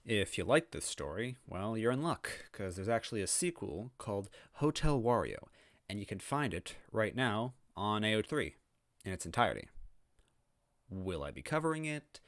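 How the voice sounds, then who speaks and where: A man's voice: on the low side, very animated, moderate pace, a bit bright, dry recording, clean studio sound. A man talking casually and reflectively to his viewers as the host of a story-reading channel, with music behind him. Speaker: fan fiction reader and channel host. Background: background music.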